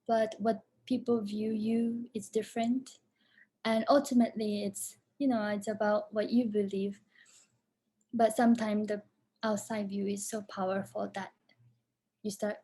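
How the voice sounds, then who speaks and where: A woman's voice: fairly high pitch, dark tone, breathy edge. A woman who grew up in Japan, speaking English as a second language, answering questions about her film in a hesitant way with many restarts. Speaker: film director. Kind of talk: casual Q&A answers.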